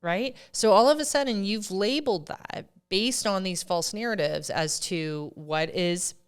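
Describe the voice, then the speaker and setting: Smooth-voiced woman podcast co-host. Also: medium pitch. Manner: emphatic, quick to push back. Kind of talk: casual chat.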